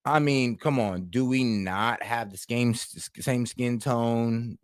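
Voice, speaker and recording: A man from the Caribbean co-hosting a casual group discussion, blunt and animated, mocking the voices he quotes. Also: mid-range voice, slow, clean tone, dry recording, clean studio sound. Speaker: podcast co-host.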